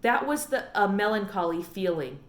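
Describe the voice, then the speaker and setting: A woman teacher narrating a children's storybook as an expressive read-aloud. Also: mid-range voice, normal speed, roomy sound. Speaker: elementary teacher.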